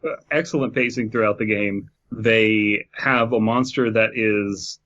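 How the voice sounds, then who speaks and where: A man with a fairly low voice talks casually about video games as a podcast host. He is enthusiastic and emphatic, and the audio is a little dull and lo-fi. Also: fairly even intonation, a little slow, fairly bright tone. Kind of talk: casual video game discussion.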